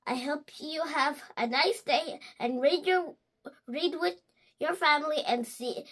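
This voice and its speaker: A woman's voice: high voice, measured pace. A young female presenter introducing and reading a children's story aloud for a story channel.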